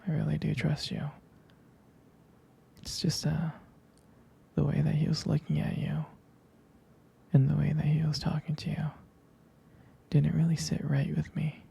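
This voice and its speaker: A man playing a jealous, protective, devoted young boyfriend in an intimate ASMR roleplay, whispering hesitantly in a breathy, husky voice. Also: a little high, monotone, heavily acted. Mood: tender, jealous. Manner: hesitant, slow, halting, soft-spoken.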